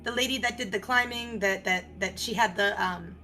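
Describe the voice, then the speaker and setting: A woman's voice: mid-range voice, moderate pace, sharp tone. A woman chatting casually as a player in a tabletop roleplaying game. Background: faint music.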